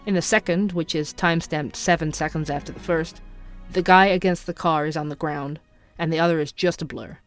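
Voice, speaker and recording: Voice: medium pitch, natural voice. An actor as a young night-shift podcaster in an audio drama, with a mid-range voice. The delivery is inquisitive and skeptical, confiding each detail like a private investigation log, with music underneath. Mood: intrigued.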